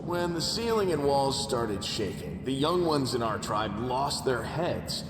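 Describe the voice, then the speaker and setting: An actor playing a determined, wry young underground tribe fighter in a video game, earnest with a streak of dry irony. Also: male voice, medium pitch, very animated, unhurried, noisy background. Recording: echoey distant hall, music behind.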